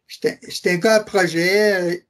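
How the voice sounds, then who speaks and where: A man's voice: high voice, fairly even intonation, brisk, crowd noise. A middle-aged man from Quebec City with a Québécois French accent, earnestly giving testimony as an interview guest, repeating his affirmations and hesitating often. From Quebec City, Canada.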